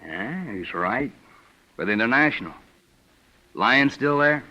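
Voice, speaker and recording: An actor playing a wry, sardonic small-town police captain who needles a suspect, in a vintage radio detective drama. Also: male voice, mid-range voice, animated, slow, sharp tone, exaggerated voice. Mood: wry, needling. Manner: drawling, sardonic, calls the suspect son. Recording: dull vintage radio recording.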